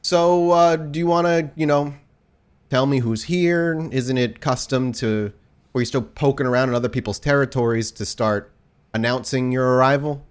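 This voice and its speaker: A man voicing a scruffy, brash vampire guarding his turf in a tabletop game, loud, challenging and sarcastic. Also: mid-range voice, normal speed, clear, resonant tone, voice pushed up, lightly acted. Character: scruffy, brash male vampire territory guard in grimy jumpsuit.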